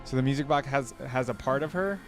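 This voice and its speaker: A man's voice: medium pitch, fast. A man hosting a reaction video, commenting casually and musing aloud in questions. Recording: music playing behind the voice.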